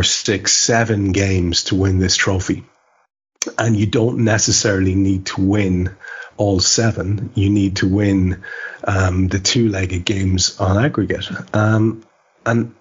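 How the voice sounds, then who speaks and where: A man hosting a football podcast, talking conversationally in a measured, wordy way with hesitant phrasing. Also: low-pitched, slightly rough, lo-fi sound. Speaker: podcast host.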